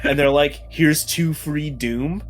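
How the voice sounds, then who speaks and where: A man's voice: on the high side, slow pace, slight background noise. A man bantering at a tabletop role-playing game, teasing the other players in a dry, mock-serious way.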